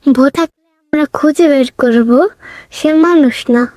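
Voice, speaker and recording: A woman's voice: high voice, moderate pace, a little mellow, no room sound, lightly acted. A woman playing a confident young girl detective who leads her team in a cartoon drama. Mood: sure.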